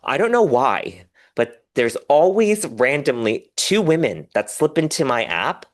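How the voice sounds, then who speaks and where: A man talking casually and candidly as a podcast guest, animated and rambling, with self-deprecating humor. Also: medium pitch, unhurried. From diaspora Korean, raised in the West.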